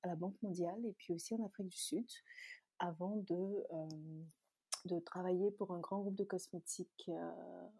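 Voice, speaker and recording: A woman's voice: lowish voice, fairly even intonation, normal speed, gravelly, warm tone, husky, no room sound. A woman from Chad whose native language is Tazaga, answering interview questions conversationally in an explanatory way. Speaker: interview guest, beauty brand founder.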